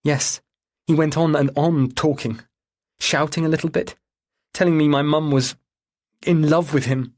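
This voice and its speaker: A man voicing a distressed sixteen-year-old girl answering police questions in a halting, defensive way in an audiobook. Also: on the high side, steady tone, a little mellow, voice pushed up. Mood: upset, defensive.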